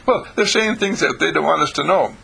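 A man's voice: on the high side, animated, a little fast, creaky, pushed and bright, a little hiss or hum, heavily acted. A man voicing an earnest, chatty four-year-old boy who speaks in repetitive, childlike phrases. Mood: confiding.

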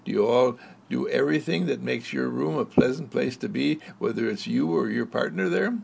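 A man voicing a gentle talking candle. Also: medium pitch, normal speed, creaky, husky. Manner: soft, soothing, praising.

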